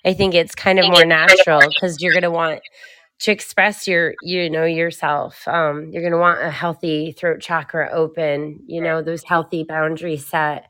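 A woman with a somewhat low voice talks loudly in a casual chat. She tells stories with animation, and fillers and laughter come through. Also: normal speed. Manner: loud, animated storytelling.

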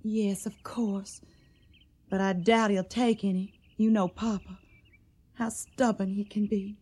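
An actress playing a devoted, anxious young daughter in a radio drama. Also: female voice, mid-range voice, flat delivery, takes it slowly, mellow, breathy edge. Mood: worried, tender.

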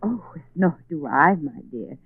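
Genteel, menacing wife, expressive pitch. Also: female voice, vocal fry, breathy edge, heavily acted. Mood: falsely gracious. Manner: formal, measured, icy politeness. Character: coldly calculating murderous wife, genteel and menacing. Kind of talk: 1940s radio acting. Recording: old phone-like 1940s radio, boxy room.

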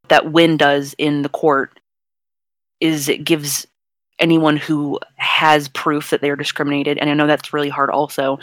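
A young non-binary podcast host with a fairly deep voice speaks in an even, level tone. They explain things frankly and at length, as if chatting among friends. The sound is dry and close, with no room around it. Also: no room sound. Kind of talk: casual podcast chat.

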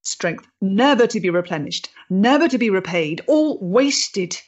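Period drama: actress as principled, bitter freethinking woman, composed formal delivery, medium pitch, lo-fi sound. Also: animated. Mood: bitter, impassioned. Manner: measured, formal, earnest. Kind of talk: period stage-play acting.

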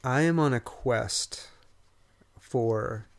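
A man's voice: mid-range voice, very slow. A man with a nasal voice co-hosting a casual podcast, joking dryly at his own expense. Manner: dry, self-mocking humor. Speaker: podcast co-host. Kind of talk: casual chat. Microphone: podcast studio mic.

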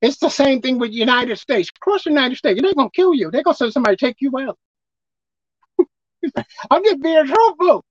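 A man with a squeaky voice preaching a sermon as pastor, emphatic and forceful. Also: very animated, fairly quick, long pauses, no echo, dull audio. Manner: emphatic, pitch leaping up and down.

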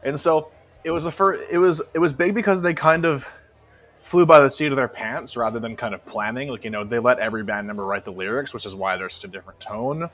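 A man talking as a podcast co-host with a medium-pitched voice. He gives casual, opinionated commentary on music and swears freely for emphasis. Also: fast, fairly bright tone.

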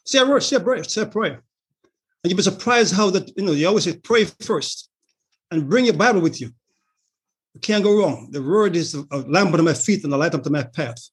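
Deacon guy, breathy high voice, kinda preachy, repeats himself. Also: animated, fairly quick. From Jamaica. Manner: earnest, preachy, repeats words. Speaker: deacon, caller. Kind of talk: video call chat. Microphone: video call.

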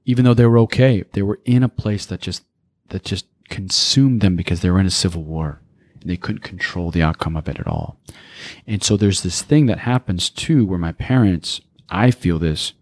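An American man around forty, reflecting on his life as an interview guest in a measured, deliberate way. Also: sits low, expressive, darkish tone, no echo. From United States, raised in small-town Pennsylvania. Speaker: podcast guest, speaker. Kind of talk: reflective personal storytelling in an interview.

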